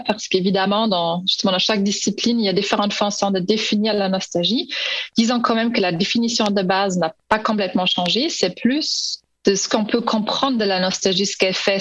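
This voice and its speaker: A woman's voice: medium pitch, fairly quick, fairly bright tone, no echo, dull audio. A woman researcher who is not a native speaker, answering interview questions in a hesitant way, with many fillers and restarts. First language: not French. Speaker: researcher guest.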